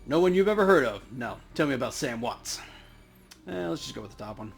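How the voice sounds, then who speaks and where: A man's voice: mid-range voice, sing-song, brisk, creaky, slightly rough. A man hosting a let's play, joking informally as he comments and reads dialogue aloud. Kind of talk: casual game commentary and reading dialogue aloud. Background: game music.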